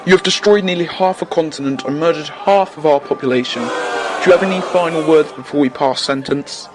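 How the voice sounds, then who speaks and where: A man's voice: a little high, a little fast, background noise, close to an everyday voice. An actor playing a stern judge in an audio drama, solemn and declamatory as he passes sentence. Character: stern male judge pronouncing eternal sentence. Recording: voice under loud music and crowd.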